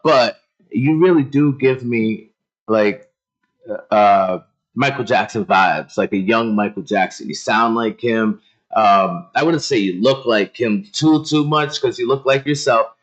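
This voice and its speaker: A man's voice: fairly low pitch, low-quality recording. A man hosting a casual interview, chatty and encouraging, often rephrasing his guest's answers. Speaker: interview host.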